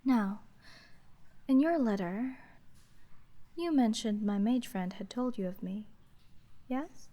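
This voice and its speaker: Woman playing a sea witch, unhurried, mellow, higher-pitched, coolly formal, monotone, dry-witted, fantasy ASMR. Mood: calm, businesslike. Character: aloof, businesslike female sea witch, dry-witted deal maker. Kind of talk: slow roleplay ASMR, scripted fantasy monologue.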